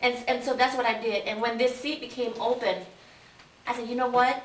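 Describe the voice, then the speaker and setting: A woman in her forties, born in Vietnam, a city council candidate telling her life story in an earnest, steady way. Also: fairly high pitch, brisk. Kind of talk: interview answers, life story. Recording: echoey room, distant mic, faint hiss.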